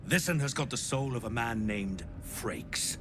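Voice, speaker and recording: An actor playing a gruff, wry game trader who tells grim tales in a blunt, folksy way. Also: male voice, medium pitch, animated, a little slow, close to an everyday voice. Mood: grim storytelling. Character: gruff unhurried male trader, sardonic messenger telling grim soul stories. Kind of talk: video game character dialogue. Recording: constant noisy ambience behind voice.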